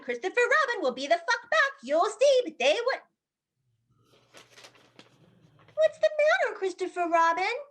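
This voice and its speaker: A woman voicing an excitable, naive little plush piglet with eager, repetitive childlike chatter in a play reading. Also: very high voice, heavily acted. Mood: overjoyed. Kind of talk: dramatized stage play reading.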